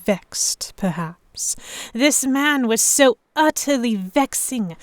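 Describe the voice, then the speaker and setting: A woman's voice: on the high side, no room sound. A woman playing an earnest, emotive noblewoman heroine who narrates an audio drama in the first person. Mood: flustered, vexed. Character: delicate young noblewoman, vexed, earnest, stubborn romantic heroine.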